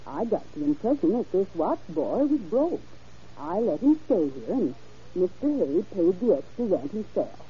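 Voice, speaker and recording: A woman's voice: lowish voice, very animated, normal speed, warm tone, breathy edge, heavily acted. An actress playing a hesitant, helpful working woman in a vintage radio crime drama, searching her memory as she talks. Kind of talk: 1940s-50s radio crime drama acting. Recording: noisy, dull vintage radio recording.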